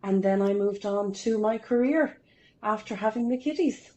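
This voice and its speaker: A woman's voice: mid-range voice, moderate pace, a little mellow. A woman, telling her life story as a podcast guest in a chatty, upbeat way with self-deprecating humour. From Ireland. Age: 40s. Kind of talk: casual interview storytelling.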